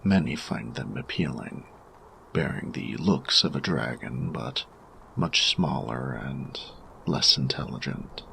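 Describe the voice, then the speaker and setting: Very deep, gravelly, formal man acting as artificer. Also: lively intonation, bright, forceful tone, a little hiss or hum, heavily acted. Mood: scholarly, curious. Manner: formal, precise, measured, scholarly diction. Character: grumpy male artificer, learned, formal, reserved steampunk inventor. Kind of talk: scripted audio roleplay, one-sided dialogue.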